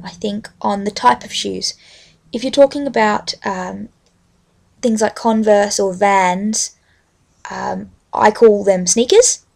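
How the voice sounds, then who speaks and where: Creaky-voiced young Australian woman. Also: fairly high pitch, a little mellow. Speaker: vlogger. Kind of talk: casual rambling chat with a read-aloud book passage.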